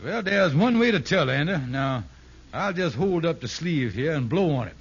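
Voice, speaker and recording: Blustering comic schemer, high-pitched and stammering, folksy grammar, muffled 1950s radio comedy recording. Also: male voice, expressive, normal speed, slightly rough. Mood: chatty. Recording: muffled, hissy old radio broadcast.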